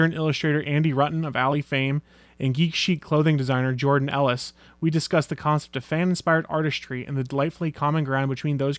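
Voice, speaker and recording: A man's voice: mid-range voice, no echo. A chatty male podcast host with an ordinary mid-range voice who laughs easily. He is recorded close and dry, with no room sound. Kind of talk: casual podcast chat.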